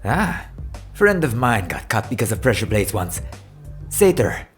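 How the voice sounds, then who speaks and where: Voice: mid-range voice, sing-song, measured pace, soft background music. An actor playing a talkative street acquaintance, casually telling stories in an audio drama. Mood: casual, rueful.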